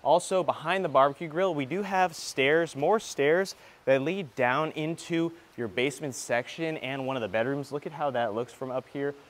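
A man's voice: on the high side, moderate pace. A man hosting a casual walk-through property tour as a real estate agent, speaking in an enthusiastic, informal, salesy way.

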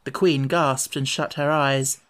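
A man's voice: mid-range voice, fairly even intonation, measured pace, fairly bright tone. Male audiobook narrator, scripted storybook narration.

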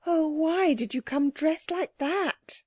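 A woman's voice: a little high, big pitch swings, normal speed, darkish tone, husky, no room sound, studio quality, pitched above the performer's own voice. A woman voicing an earnest, polite young girl in a children's storybook. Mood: alarmed.

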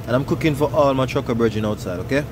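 A man's voice: medium pitch, fast. A man, chatting casually as a trucker vlogger while cooking and touring his truck cab. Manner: upbeat, chatty, laughs often, sing-song greetings. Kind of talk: casual vlog tour and cooking show. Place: inside a truck sleeper cab. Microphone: handheld phone camera. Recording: noisy truck cab with engine and AC hum.

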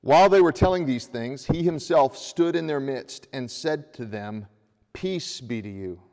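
A middle-aged man preaching a sermon from a church pulpit, reading scripture and rising in pitch with energy as he presses his points. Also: unhurried. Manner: animated preaching with raised hands, voice climbing when stressing a point. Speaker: pastor. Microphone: far camera. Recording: long church reverb.

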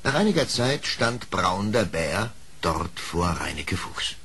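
A man's voice: sits low, big pitch swings, slow pace, fairly bright tone, breathy edge. A man narrating a children's radio play in a scripted storybook style.

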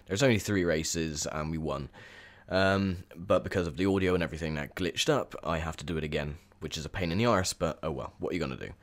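A young man with a croaky, sore voice, chatting casually through gameplay commentary with lots of ums. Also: deep voice, fast, hardly any pauses. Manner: chatty, rambling with many ums. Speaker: gaming video host.

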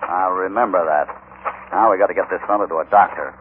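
An actor playing a commanding, calm, shrewd American cowboy hero in an old radio Western, speaking firmly. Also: male voice, sits low, a little fast, lightly acted. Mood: calm, probing. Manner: firm, decisive. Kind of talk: 1950s radio Western acting. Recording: tinny, noisy old radio recording.

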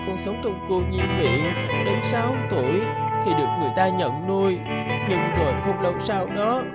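An actor voicing a sad, timid adopted boy in an animated drama. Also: mid-range voice, moderate pace, close to an everyday voice. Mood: sad, hurt. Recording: loud music under the voice.

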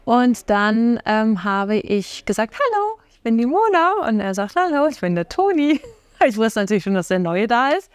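Woman, middle-aged, fairly high voice, lively storytelling, interview, restaurant dining room. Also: normal speed, clean tone, dry recording. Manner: lively storytelling, laughs easily. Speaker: restaurant co-owner. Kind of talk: documentary interview.

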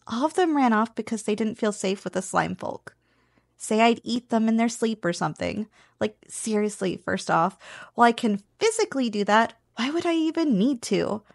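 Woman playing a teasing slime girl roommate, creaky voice. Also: on the high side, dry recording, studio quality. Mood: amused, candid. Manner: teasing, chatty, conversational with chuckles. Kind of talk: ASMR roleplay, one-sided casual conversation.

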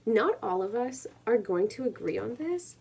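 A woman in her twenties reading poetry and chatting casually on her own video at home, in a measured, deliberate way. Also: fairly high pitch, very animated, gravelly, a little mellow, husky. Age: 20s. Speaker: poetry reader and video creator. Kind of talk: spoken word poetry reading with casual introduction. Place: small room at home, indoors.

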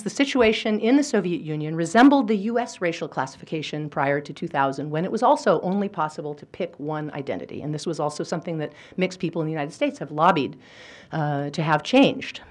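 Lower-voiced woman giving a lecture. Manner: thoughtful, with frequent fillers and restarts. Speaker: history professor giving a lecture. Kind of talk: academic lecture with quotations. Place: lecture hall.

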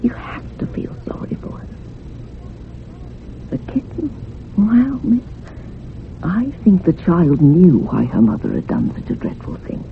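An actress playing an older, sorrowful village housekeeper in a radio drama, confiding in rustic, old-fashioned turns of phrase. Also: female voice, lowish voice, sing-song, unhurried, gravelly, long pauses, heavily acted. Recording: muffled phone-like radio recording with hum.

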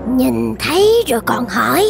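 A woman voicing a menacing serpent demon of a haunted castle in a cartoon, with imperious, drawn-out speech. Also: fairly high pitch, sing-song, creaky, over music, exaggerated voice. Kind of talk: children's cartoon dubbing.

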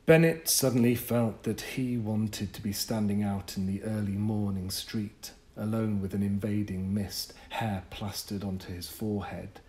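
A man reading a literary short story as an audiobook, with measured, deliberate phrasing and pauses. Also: fairly low pitch, darkish tone. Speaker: audiobook narrator. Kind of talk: scripted literary story narration.

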